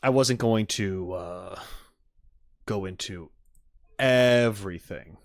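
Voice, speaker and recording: A man's voice: fairly low pitch, slow pace, no room sound, clean studio sound. A man streaming casually to viewers, thinking aloud in a hesitant way. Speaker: streamer reacting to game content. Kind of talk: casual chat with live viewers.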